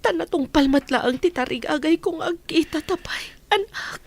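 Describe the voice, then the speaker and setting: A woman playing a tender, long-yearning mother in a radio drama, with an emotional, breathy delivery. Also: high-pitched, moderate pace. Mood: tender, aching.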